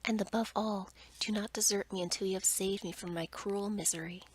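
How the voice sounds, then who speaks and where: A woman voicing a tender, pleading young prince in a softly whispered ASMR storybook reading. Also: mid-range voice, fairly even intonation, brisk, a little hiss or hum, close to an everyday voice. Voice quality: soft, breathy whisper.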